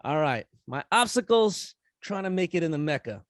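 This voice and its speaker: Middle-aged New man, easygoing and rambling, slightly higher voice, dry close mic. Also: normal speed, no echo. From United States. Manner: relaxed, rambling, many fillers and restarts. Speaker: rapper and event host speaking at a forum. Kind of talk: casual conference talk after a rap performance.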